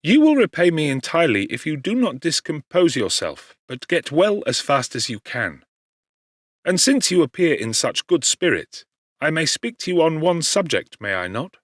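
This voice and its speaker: Man voicing an earnest young gentleman, higher-pitched and animated. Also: normal speed, dry recording, studio quality. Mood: gentle, solicitous. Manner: emphatic, earnest, formal. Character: concerned, kindly young gentleman friend, animated and pleading.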